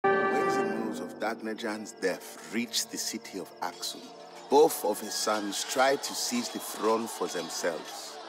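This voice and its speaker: A low male voice narrates a historical game story in a measured, expressive way. Music plays clearly behind him.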